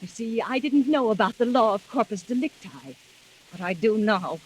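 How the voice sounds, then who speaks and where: An actress playing a jealous, vengeful wife driven to madness in melodramatic 1940s radio mystery style. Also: female voice, fairly high pitch, animated, moderate pace, a little hiss or hum, lightly acted. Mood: bitter, vengeful. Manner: melodramatic, menacing. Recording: old muffled 1940s radio recording.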